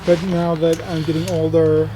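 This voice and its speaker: A man's voice: a little high, completely flat delivery, normal speed, over a noisy crowd. A man in his late twenties chatting casually as a vlog host. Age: late 20s. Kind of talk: casual chat.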